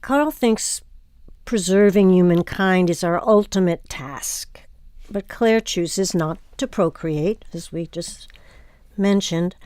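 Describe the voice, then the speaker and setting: A woman host speaking in a measured, deliberate way as she moderates an arts discussion. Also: lowish voice, darkish tone, dry recording, clean studio sound.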